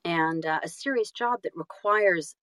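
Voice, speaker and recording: A woman's voice: lowish voice, a little slow, fairly bright tone, no room sound, clean studio sound. A woman telling stories casually as an interview guest, self-deprecating and emphatic in manner. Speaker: guest, audiobook narrator and actress.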